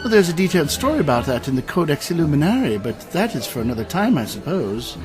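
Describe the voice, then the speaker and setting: An actor playing a bookish, pedantic scholar in a fantasy audio drama, speaking in a hesitant, stammering way. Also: male voice, a little high, very animated, fast talker, music in the background. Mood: eager, learned. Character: bookish, fussy male scholar of church relics.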